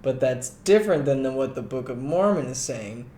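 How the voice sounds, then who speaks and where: A man's voice: mid-range voice, expressive, normal speed, dark tone. A man co-hosting a casual commentary, sarcastic and given to mimicking others in mock quotes. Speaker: co-host. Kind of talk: casual, animated conversational commentary. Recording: roomy echo, faint background noise.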